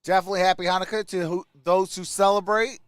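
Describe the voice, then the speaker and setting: A man's voice: high-pitched, flat delivery, fast talker, smooth, no echo, clean studio sound. A man hosting a sports livestream, calling plays casually and chatting with viewers. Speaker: sports livestream host reacting to a game. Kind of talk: casual live play-by-play and chat with viewers.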